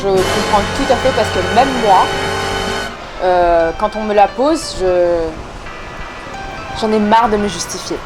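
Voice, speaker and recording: A woman's voice: medium pitch, measured pace, pauses often, music in the background. A young woman hosting an interview, engaged and responsive with frequent short acknowledgements. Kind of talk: conversational interview.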